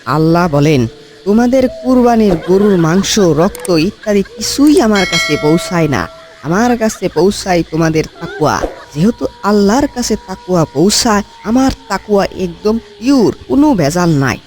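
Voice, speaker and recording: A man's voice: high-pitched, animated, normal speed, darkish tone, slight background noise. A man voicing a brazen cartoon cattle thief who argues in mock-pious earnest, smugly justifying himself. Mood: pious self-justifying. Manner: argumentative, mock-earnest reasoning. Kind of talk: comic cartoon dialogue.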